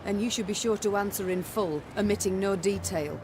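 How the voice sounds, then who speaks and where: A woman's voice: medium pitch, brisk, noisy background, lightly acted. Commanding warrior queen, played by a woman. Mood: stern, accusing. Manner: formal, commanding, archaic phrasing. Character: stern, imperious warrior queen. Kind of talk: scripted video game voice acting.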